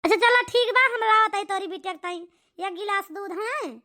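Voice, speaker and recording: A woman's voice: very high-pitched, moderate pace, sharp tone. A woman with an Awadhi accent voicing a practical, bargaining village auntie in a cartoon. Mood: matter-of-fact. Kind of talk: homemade cartoon comedy dialogue.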